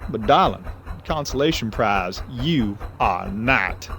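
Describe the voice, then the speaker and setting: An actor playing a smooth, flirtatious man and jealous lover in an audio drama, wry in manner. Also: on the low side, expressive, takes it slowly, a bit bright, full character voice.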